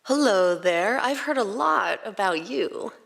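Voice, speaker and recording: A woman voicing a calm, coaxing doctor with a sweet, gentle manner in a story reading. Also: medium pitch, vocal fry, full character voice. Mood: softly welcoming. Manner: slow, gentle, sweetly patient. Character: soft-spoken woman doctor, calm, coaxing, falsely warm. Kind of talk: dramatized fan fiction reading.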